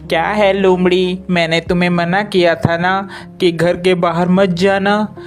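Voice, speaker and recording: A man's voice: high voice, little pitch movement, measured pace, faint music behind, voice pushed up. A man voicing a bossy but helpful male monkey who scolds in an animal storybook tale. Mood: scolding. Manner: scolding, exasperated.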